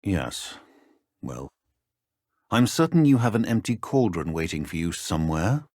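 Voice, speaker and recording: Deep-voiced, stern British wizard professor. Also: male voice, big pitch swings, darkish tone, no echo, exaggerated voice. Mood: measured, wry. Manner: measured, dry, formal, clipped. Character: stern, dry middle-aged wizard professor, ex-Auror. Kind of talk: video game voice acting.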